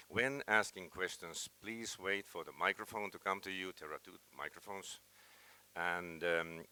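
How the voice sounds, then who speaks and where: A man with a Finnish accent speaking as a press officer, formally opening a press conference in a halting, procedural way. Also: deep voice, bright, forceful tone. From Finland.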